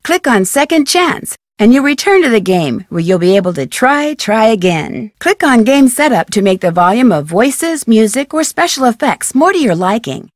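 A plucky young American female detective from a mystery video game. Her voice sits fairly high and is recorded dry. She speaks clearly and directly, as a polite, articulate guide and sleuth. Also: sing-song, normal speed, hardly any pauses, no echo. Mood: helpful, instructive. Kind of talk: scripted video game dialogue and tutorial narration.

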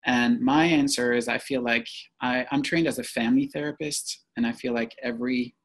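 Creaky-voiced man. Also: mid-range voice, fairly even intonation, moderate pace, fairly bright tone. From French-speaking Belgium. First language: French. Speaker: podcast guest, psychotherapist. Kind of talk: reflective interview answers.

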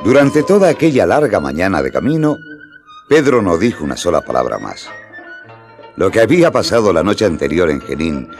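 A man's voice: sits low, big pitch swings, brisk, soft background music. An actor playing a storyteller who narrates his own past in a scripted radio drama. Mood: storytelling.

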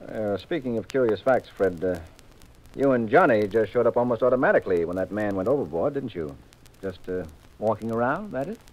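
An actor playing a cool, wry detective with a dry, commanding manner in a 1950s radio mystery. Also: male voice, lowish voice, lively intonation, a little fast, a little mellow, highs cut off. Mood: dryly challenging. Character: cool, wry, assured male detective, married sleuth. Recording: noisy 1950s radio recording.

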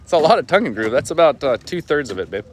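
A man's voice: fairly high pitch, very animated, rapid-fire. A man chatting casually and informally to the camera as a vlogging builder, with folksy, unscripted phrasing. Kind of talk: casual vlog chat to camera. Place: outdoors at a dock and on the water. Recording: very noisy outdoor boat and engine noise.

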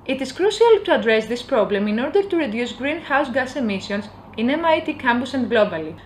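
A young woman from Greece, giving an earnest, upbeat scripted promotional pitch. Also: sing-song, brisk, slight background noise. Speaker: company presenter. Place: indoor office with bookshelves.

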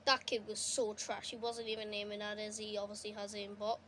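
A young woman giving casual, excitable commentary while playing a console game, her voice going a bit hoarse. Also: mid-range voice, a little fast, slight background noise. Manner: chatty, excitable, reacting to the game. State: losing her voice. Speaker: gaming streamer. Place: indoors at a console. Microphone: phone filming a TV screen.

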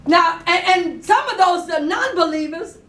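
Female preacher, very high voice, fervent and repetitive, emphatic delivery, recorded in an echoey room away from the mic. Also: lively intonation, unhurried, recorded from a distance, a little hiss or hum. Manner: emphatic, repetitive exhorting, rising to shouts. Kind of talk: fervent Pentecostal-style sermon with call and response.

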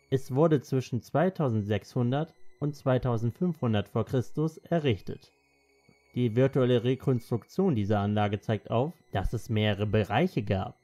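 A man's voice: medium pitch, dark tone. A man narrating a scripted documentary as its narrator.

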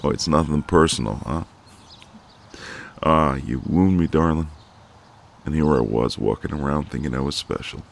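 A man playing a very deep, gravelly flirty neighbour. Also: moderate pace, pauses often, slight background noise. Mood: teasing, cocky. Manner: teasing, drawling, intimate, folksy. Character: flirty, cocky, gentle ex-marine neighbour, adult man. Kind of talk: ASMR romantic roleplay.

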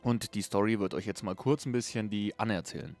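A man's voice: fairly low pitch, fairly even intonation, a little fast, a little mellow, no room sound. A man hosting a casual, unscripted video game review in a chatty, informal way. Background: music under a voice-over.

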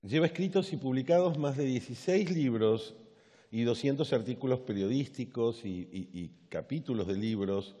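Middle-aged man, stage host, reading an introduction from notes, mellow, long hall reverb. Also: medium pitch, animated. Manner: reads from paper, measured with small restarts. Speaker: event host introducing the keynote speaker. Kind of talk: formal introduction read from notes. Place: large conference stage, seated.

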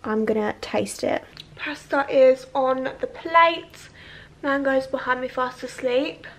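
A woman in her twenties chatting excitedly to camera in a casual home cooking vlog, full of fillers. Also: sits high, slow pace. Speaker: vlogger. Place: home kitchen and living room, indoors.

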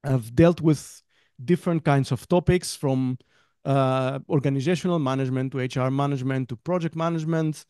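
A man's voice: mid-range voice, normal speed, mellow, clear, resonant tone, no echo, clean studio sound. A man in his thirties from Greece, talking casually as a guest trainer in a webinar, rambling a little and laughing between phrases. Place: home room, on a video call. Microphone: headset with desk mic.

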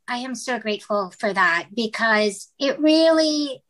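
A woman's voice: a little high, lively intonation, unhurried, fairly bright tone. A woman from the Philippines, a writer and actress, speaking as a guest in a casual interview, hesitant with many fillers and restarts.

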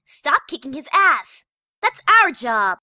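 A woman's voice: sits high, sing-song, slow pace, heavily acted. A woman voicing a hot-tempered young fighter girl, brash and scolding, in an anime parody dub. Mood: combative. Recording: loud music behind.

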